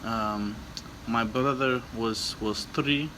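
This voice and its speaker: A man playing a wistful, solemn Bulgarian recalling his childhood, deadpan and halting, in broken English. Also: mid-range voice, slight background noise, poor audio. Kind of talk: improvised comic in-character storytelling.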